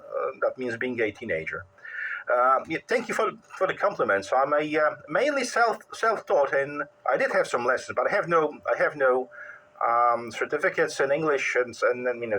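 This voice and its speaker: A middle-aged man with a Polish accent, chatting casually as an amateur radio operator in a halting, wry, rambling way. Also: medium pitch, sharp tone, rough recording.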